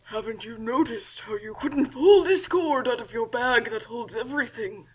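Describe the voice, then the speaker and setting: A woman's voice: a little high, moderate pace, husky, low-quality recording. A woman voicing a villainous talking book that explains its schemes formally in a comic fan fiction reading. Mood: smug, explaining.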